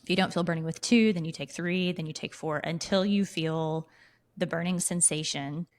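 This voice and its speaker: A woman's voice: on the low side, moderate pace. A woman co-hosting a casual podcast, explaining things step by step in a methodical way. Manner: explanatory, walking through steps methodically. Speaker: podcast co-host.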